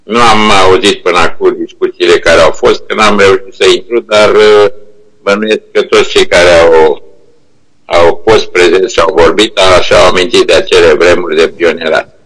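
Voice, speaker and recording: An older man reminiscing informally over a video call, speaking haltingly as he searches for words. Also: low-pitched, steady tone, a bit bright, low-quality recording. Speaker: internet company co-founder, remote guest speaker. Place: remote video call played to a meeting room. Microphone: video call shown on projection screen.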